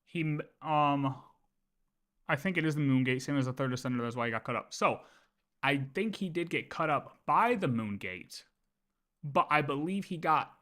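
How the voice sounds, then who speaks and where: A man's voice: a little high, expressive, moderate pace, a bit bright. A man livestreaming casual game lore commentary, thinking aloud and often repeating his words as he works through ideas.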